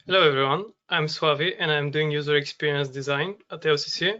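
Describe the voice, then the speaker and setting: A user experience design student from Bulgaria introduces himself. His voice is bright, smooth and somewhat high, and he speaks fluently. The audio is dull and lo-fi. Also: steady tone, fairly quick. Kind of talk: webinar self-introduction. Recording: dull lo-fi video call audio.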